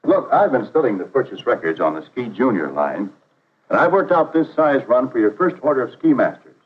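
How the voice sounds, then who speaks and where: Guy acting as polite 50s salesman making his pitch, earnest and confident, bouncy intonation, muffled old film audio. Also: sing-song, brisk, close to an everyday voice. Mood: confident persuasion. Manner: courteous, confident sales patter. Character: earnest, polite, persuasive travelling salesman, adult man. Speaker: actor in dramatized training film. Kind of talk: 1950s instructional film acting. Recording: dull vintage 1950s film soundtrack.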